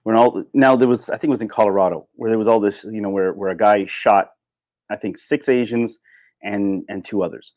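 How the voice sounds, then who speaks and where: A man's voice: fairly low pitch, brisk, a little mellow, no echo, clean studio sound. A man hosting a casual, opinionated livestream monologue, speaking informally and emphatically, repeating phrases to drive his points home. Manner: informal, with occasional swearing. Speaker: livestream host.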